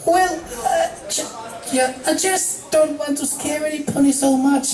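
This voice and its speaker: A man voicing a timid, fearful young female pegasus pony in a soft, hesitant, stammering falsetto. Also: unhurried, faint music behind. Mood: timid, anxious. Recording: roomy echo, distant mic.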